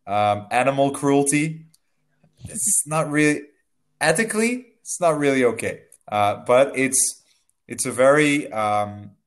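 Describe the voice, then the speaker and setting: A young man speaks into a headset from a small room, guiding a student through an online English lesson. His voice sits at an ordinary middle pitch, and he talks slowly and kindly, laughing now and then. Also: lively intonation. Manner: friendly, laughs often, slow careful phrasing. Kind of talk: casual video-call lesson.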